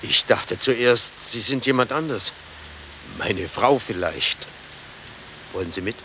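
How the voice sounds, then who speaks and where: A man's voice: medium pitch, lively intonation, slow pace, bright, forceful tone. An actor playing a hesitant, dreamy, solicitous male motorist in a German radio drama, speaking in a tentative, musing way. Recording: faint hiss, early-1980s radio drama tape.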